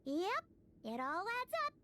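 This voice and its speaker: A woman voicing a small, childlike female fairy companion in a video game, squeaky and exclamatory, inquisitive and chirpy. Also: huge pitch swings, unhurried, pushed and bright, a little hiss or hum, exaggerated voice. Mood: curious.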